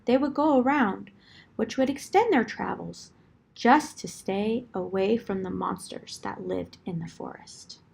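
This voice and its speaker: Slow, creaky-voiced woman telling a fairytale. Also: mid-range voice, a little mellow. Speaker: storyteller. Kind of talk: children's fairytale narration, expressive storybook reading.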